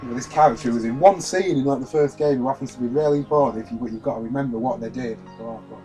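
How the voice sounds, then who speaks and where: A man's voice: mid-range voice, very fast, dark tone, poor audio. A man chatting casually and argumentatively as a let's play commentator, swearing now and then over a video game. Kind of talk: casual gaming banter. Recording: game music behind voice. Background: video game music and cutscene audio.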